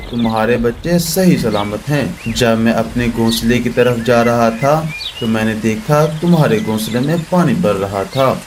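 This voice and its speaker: A man's voice: medium pitch, noisy background, lightly acted. A man voicing a kindly, protective crow, a brotherly neighbour in a children's cartoon.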